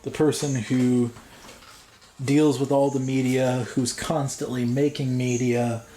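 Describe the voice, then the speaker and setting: A man with a mellow voice gives an earnest show introduction in slow, measured phrases. The room is echoey, with a little noise behind him. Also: medium pitch, level tone. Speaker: vlog host.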